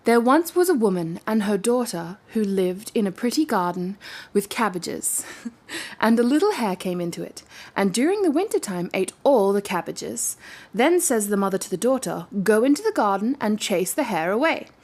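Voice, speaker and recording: A woman's voice: medium pitch, big pitch swings, moderate pace, a little mellow. A woman with a playful, giggly manner, hosting a story-reading channel in a casual storytelling style.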